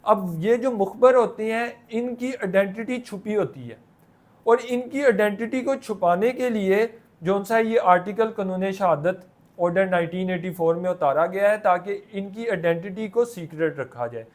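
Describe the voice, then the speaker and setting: High-pitched Pakistani man giving a lecture. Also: moderate pace. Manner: colloquial, repeats a habitual filler phrase, mixes in English terms. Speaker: law lecturer. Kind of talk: explanatory lecture.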